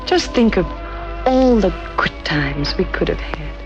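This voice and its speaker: Slow, lilting femme fatale. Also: female voice, fairly low pitch, expressive. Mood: teasing, coy. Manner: coy, playful, taunting. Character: cool, teasing, seductive femme fatale. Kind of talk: old-time radio crime drama acting. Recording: dull old radio recording, faint music.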